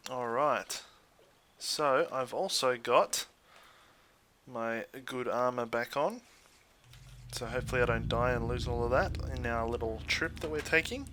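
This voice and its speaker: An Australian man giving casual, chatty let's play commentary in an easygoing way, thinking out loud as he goes. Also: medium pitch, measured pace, noisy background. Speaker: gaming YouTuber.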